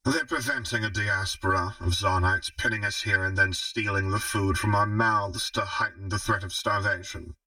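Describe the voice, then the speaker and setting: A man voicing a shrewd, commanding female crime baron in an audiobook, speaking in formal, measured speeches. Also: lowish voice, fairly even intonation, bright, forceful tone, no room sound, recorded from a distance, exaggerated voice, rough recording. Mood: grim, defiant.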